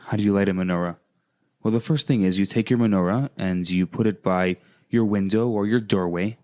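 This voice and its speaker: A man's voice: fairly low pitch, fairly quick, dark tone. A man speaking as a rabbi and radio co-host, explaining things to listeners.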